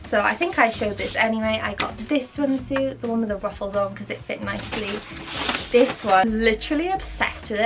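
A young woman chatting excitedly in a casual shopping haul from her bedroom. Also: fairly high pitch. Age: young adult. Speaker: vlogger. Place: pink bedroom indoors. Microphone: camera on a stand, sitting close. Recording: music playing under voice. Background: background music.

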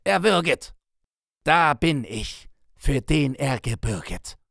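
A man's voice: mid-range voice, big pitch swings, takes it slowly, creaky, fairly bright tone, dry recording, clean studio sound, pitched above the performer's own voice, exaggerated voice. A man voicing a desperate, loyal man who pleads for his friend's life in impassioned, declamatory cries from a classic ballad. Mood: urgent crying out.

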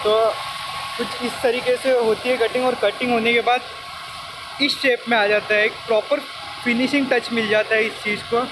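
A man's voice: very high-pitched, steady tone, normal speed. A young man vlogging casually outdoors, asking questions and repeating back what he is told. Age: young adult. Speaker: vlogger, host. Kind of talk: casual on-location vlog commentary and questions. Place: outdoors in an open stone quarry. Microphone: handheld phone at arm's length. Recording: loud outdoor machinery and vehicle noise.

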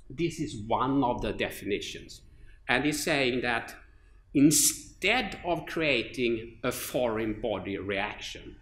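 A middle-aged man from Sweden with a Swedish accent, an orthopedic surgeon giving a conference lecture at a podium microphone, relaxed, with many fillers and restarts. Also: mid-range voice. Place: large lecture hall with an audience. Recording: echoey lecture hall.

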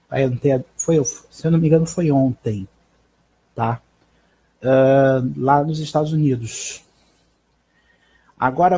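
A Brazilian man in his thirties with a slightly high, husky voice and a soft, mellow tone. He presents a news roundup live from home on a headset mic, informally and haltingly, often checking in with short tags. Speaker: live stream host. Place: small bedroom at home. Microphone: headset microphone.

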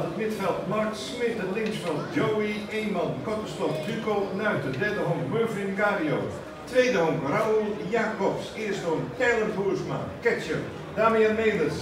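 A man announcing a baseball lineup over the stadium PA, emphatic and building up to the pitcher. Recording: distant echoey stadium PA.

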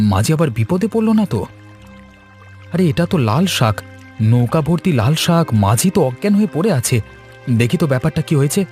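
A man voicing a helpful, hurried village boatman in a cartoon story, earnest and prone to urgent repetition. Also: medium pitch, darkish tone, slightly rough. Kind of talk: Bengali cartoon story dialogue.

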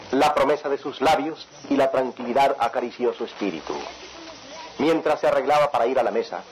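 A man's voice: medium pitch, lightly acted, low-quality recording. A grave male actor as radionovela narrator tells a family tragedy. His delivery rises and falls expressively, with faint music underneath.

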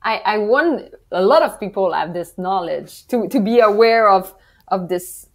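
A woman's voice: medium pitch, animated, measured pace. A woman in her thirties hosting a casual interview, smiling and enthusiastic as she talks. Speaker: podcast host. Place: indoors, video call. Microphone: wired earphone mic.

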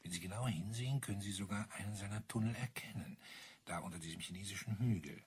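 An actor playing a talkative landlord amused by his eccentric neighbours, husky and explanatory, in a German crime radio drama. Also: fairly low pitch, fast. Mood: chatty, explaining. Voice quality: husky, breathy. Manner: chatty, explanatory, savouring details.

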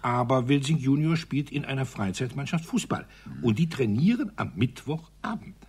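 An actor playing an older retired colonel in a radio crime drama, telling things soberly in a measured, husky way. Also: male voice, mid-range voice, expressive, a little mellow. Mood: matter-of-fact. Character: older retired German colonel, sober, bitter war veteran.

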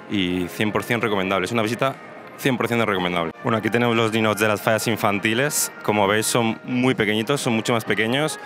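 A man, vlogging a walking tour of a crowded indoor exhibition hall. He talks eagerly and straight to his viewers into a handheld mic held at his mouth. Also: fairly low pitch. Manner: enthusiastic, addresses viewers directly. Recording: music playing under the voice.

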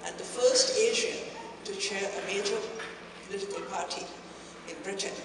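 A middle-aged woman giving a measured, declamatory formal introduction at a podium microphone in a banquet hall. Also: medium pitch. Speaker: speaker introducer. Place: large banquet hall, indoors. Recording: echoey hall, distant mic, noisy.

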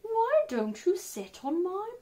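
A woman voicing a crafty storybook fox with drawn-out, coaxing offers. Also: very high voice, huge pitch swings, warm tone, pitched above the performer's own voice, heavily acted. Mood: sly coaxing.

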